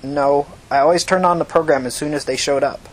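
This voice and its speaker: Man playing an evasive, nervous computer whiz, husky. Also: fairly high pitch, steady tone, brisk, natural voice, low-quality recording. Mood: guarded. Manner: guarded, hesitant, defensive.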